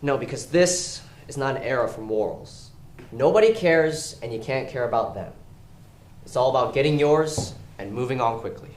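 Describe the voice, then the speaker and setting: Shady, coaxing male crime boss played by a man, stage play, animated delivery, echoey room with noise behind. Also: mid-range voice, normal speed, close to an everyday voice. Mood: pressing, persuasive. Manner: coaxing, evasive, rhetorical. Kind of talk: stage acting in a one-act play.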